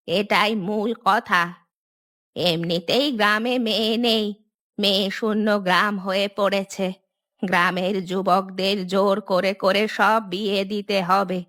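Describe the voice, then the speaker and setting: A woman performs an older village aunt for a cartoon. She is bossy and fatalistic, with a slow, declamatory, lamenting delivery at a medium pitch. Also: fairly bright tone. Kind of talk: Bengali cartoon dubbing.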